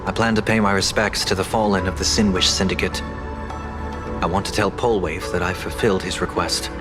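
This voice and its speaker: Low-voiced reflective man playing a young traveller. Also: steady tone, a little fast, over music, natural voice. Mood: reflective, tired. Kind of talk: scripted game dialogue.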